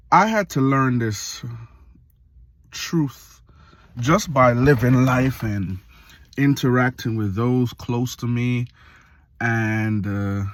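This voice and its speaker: A man in his late thirties, from Jamaica, giving a reflective casual monologue for his video channel, slow and full of pauses and fillers. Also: medium pitch, no room sound. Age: 30s. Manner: slow, pausing often with fillers. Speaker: vlogger.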